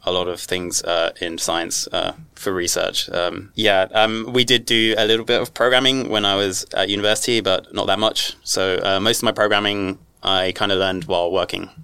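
Deep-voiced young Londoner, hesitant. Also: male voice, fairly even intonation, moderate pace, gravelly, hardly any pauses, sharp tone, clear, resonant tone, no echo. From London, England. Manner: hesitant, many ums and uhs, modest. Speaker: podcast guest. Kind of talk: casual interview answers.

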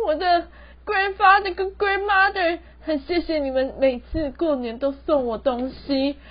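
A teenage girl playing a proud, emotional young city wife who feels wronged, in an amateur radio drama with melodramatic delivery. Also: sits high. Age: teens. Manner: melodramatic, code-switching English words into speech. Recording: muffled lo-fi recording with background music.

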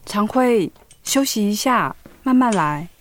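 An actress playing a gentle, caring, encouraging wife in a Mandarin radio drama. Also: female voice, medium pitch, animated, takes it slowly, slight background noise. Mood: caring, concerned.

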